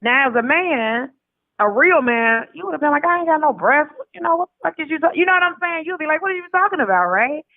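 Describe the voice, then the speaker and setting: A woman's voice: sits high, expressive, fast, dry recording. An American woman telling personal stories casually as an interview guest, colloquial and acting out quoted dialogue. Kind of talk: casual conversational storytelling. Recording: tinny narrow phone line.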